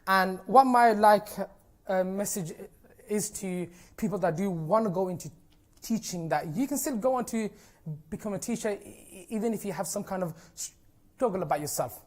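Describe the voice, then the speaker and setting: A man's voice: high-pitched, moderate pace. A young man speaks with a noticeable stammer, repeating sounds and stalling on the first syllables of words. He is a teacher addressing an audience in an informal, earnest, encouraging way, with many "you know" and "like" fillers. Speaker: teacher giving a conference talk. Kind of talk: informal personal talk to an audience.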